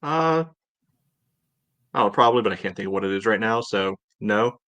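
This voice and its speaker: A man's voice: on the low side, animated, normal speed, fairly bright tone, dry recording. A man co-hosting a casual sports talk show, hesitant and thinking aloud as he goes. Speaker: sports podcast co-host.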